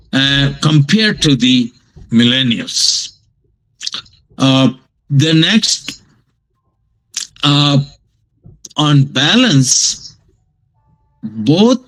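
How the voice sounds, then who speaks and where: An older man from Bangladesh, a professor giving a lecture from his home over a video call, pausing often with fillers and restarts. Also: medium pitch, fairly bright tone, rough recording. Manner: slow, halting delivery with many fillers and restarts. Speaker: guest lecturer.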